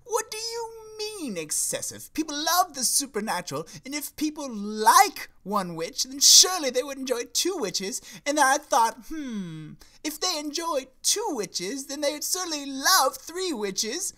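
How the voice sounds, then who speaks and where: Man putting on a bright, high-voiced melodramatic young Shakespeare. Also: sing-song, measured pace, few pauses, heavily acted. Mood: wounded, pleading. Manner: melodramatic, pleading. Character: young student Shakespeare, theatrical, thin-skinned, indignant puppet. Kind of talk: puppet show comedy acting.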